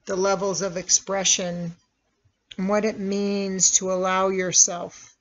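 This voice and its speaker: Meditation guide, medium pitch, very unhurried, measured instructional delivery with long pauses, muffled lo-fi sound. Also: level tone. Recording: dull, lo-fi recording.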